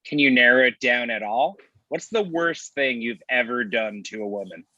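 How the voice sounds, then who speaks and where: A man's voice: mid-range voice, moderate pace, bright, forceful tone. A man playing a needling, wisecracking friend in a comedy table read, heard through a dull online-call connection. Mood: probing.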